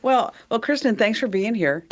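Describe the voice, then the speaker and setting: A woman with a deep voice hosting a podcast interview, chatting casually, laughing often and talking with plenty of fillers. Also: animated, moderate pace, a little hiss or hum. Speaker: podcast host.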